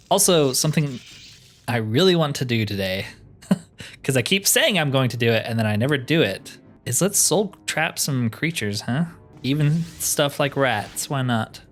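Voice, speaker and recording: A man's voice: medium pitch, expressive, normal speed, fairly bright tone. A man hosting a game playthrough with casual, informal commentary, thinking aloud as he plays. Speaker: host of a game roleplay series. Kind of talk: casual let's play commentary. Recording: music behind the voice.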